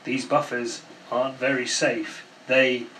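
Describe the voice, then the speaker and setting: A man's voice: medium pitch, level tone, measured pace, bright, forceful tone, rough recording. A boastful storybook steam engine, as a male reader puts him on, huffy and easily offended. He splutters his indignation like a proud character who expects respect. There is some background noise. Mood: warning.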